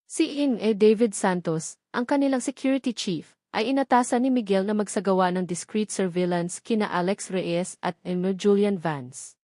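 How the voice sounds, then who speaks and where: Filipino woman reading an audio drama story, normal pitch, very dry sound. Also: moderate pace, mellow, no room sound. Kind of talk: scripted story narration.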